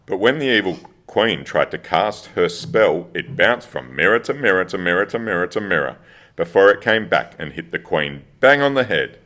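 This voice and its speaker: Deep-voiced man narrating a children's story. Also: big pitch swings, normal speed, a bit bright.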